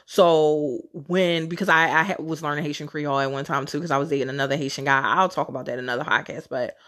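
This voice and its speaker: A woman's voice: sits low, steady tone, fast talker, a bit bright. A woman podcast host telling casual personal stories on her own, in a chatty, candid, slangy way with some casual swearing. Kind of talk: casual solo storytelling.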